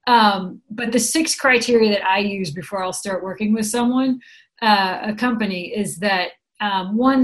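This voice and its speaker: A middle-aged woman with an American accent chats through an interview, upbeat and explanatory. Her voice sits at an everyday middle pitch, unhurried and friendly in tone. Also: fairly bright tone. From San Francisco, USA. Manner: chatty, upbeat, explains with examples. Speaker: guest, program director. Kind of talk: conversational interview answers.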